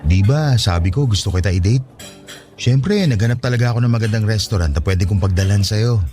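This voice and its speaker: An actor playing a devoted, patient young suitor in a radio drama, speaking in a tender, earnest and reassuring way. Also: male voice, on the low side, brisk, dark tone, lightly acted. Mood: eager. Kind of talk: Tagalog radio drama dialogue. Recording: studio drama with music underneath. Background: background music.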